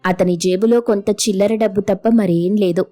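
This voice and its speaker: A woman narrates a scripted moral story as the storyteller. Her voice sits at a medium pitch, with faint music playing behind it. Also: fairly even intonation, normal speed, warm tone.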